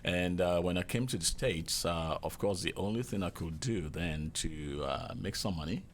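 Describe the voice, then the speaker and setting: A man in his fifties with a Nigerian accent, a guest chef telling relaxed stories full of fillers. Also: deep voice, normal speed, slight background noise. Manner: relaxed, chuckling anecdotes with many fillers. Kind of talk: casual interview storytelling.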